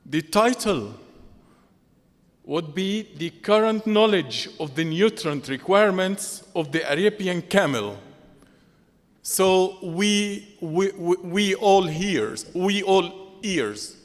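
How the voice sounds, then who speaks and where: Male session chair, slow and deliberate, high voice, reverberant conference room. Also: lively intonation. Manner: measured, deliberate pacing. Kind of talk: formal speaker introduction. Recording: long reverb of a conference hall.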